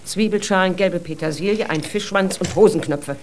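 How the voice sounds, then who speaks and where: An actress playing a poor ragpicker's practical, sardonic, scolding wife in a children's radio play, dry and reproachful. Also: female voice, lowish voice, normal speed, a little hiss or hum, lightly acted.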